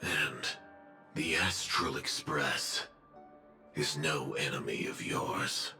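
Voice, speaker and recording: An actor playing an ominous, fatalistic armored warrior in a video game, speaking slowly and gravely in cryptic pronouncements. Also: male voice, fairly even intonation, bright, forceful tone, roomy sound, full character voice. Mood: cold, measured. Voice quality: gravelly, very deep. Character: ominous armored male warrior devoted to destruction, solemn and fatalistic. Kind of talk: dramatic video game voice acting. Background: game music.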